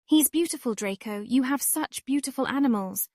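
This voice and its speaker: A woman's voice: high voice, normal speed, darkish tone, no echo, clean studio sound. A woman playing a curious, polite and earnest young witch girl in a comic dub. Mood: curious, admiring.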